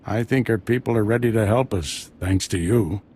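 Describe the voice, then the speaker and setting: An actor playing a seasoned, commanding Jedi master in a video game, solemn and authoritative. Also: male voice, fairly low pitch, normal speed, a little hiss or hum, natural voice. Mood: urgent command. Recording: loud music behind.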